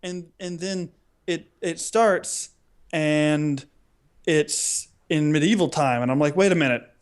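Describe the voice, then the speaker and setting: High-voiced, excitable man ranting comically. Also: takes it slowly, clean tone. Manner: excitable, theatrical, acts out reactions. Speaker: podcast co-host. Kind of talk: casual chat, comic ranting.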